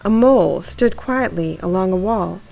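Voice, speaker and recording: A woman's voice: medium pitch, expressive, moderate pace, gravelly, dark tone. A woman reading a children's story aloud and hosting a kids' story channel. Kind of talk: animated read-aloud storytelling for young children.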